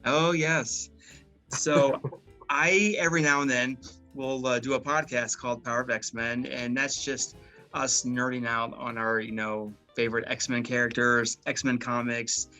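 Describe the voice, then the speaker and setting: A man's voice: medium pitch, fairly even intonation, measured pace, sharp tone, faint music behind. A man, a guest chatting casually with many fillers and restarts. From Maryland, USA. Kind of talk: casual interview chat.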